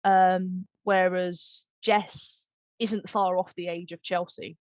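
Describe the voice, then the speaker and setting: A woman's voice: on the low side, level tone, takes it slowly, darkish tone, no room sound. A woman hosting a casual podcast about making audio drama, chatting in an effusive, informal, giggly way.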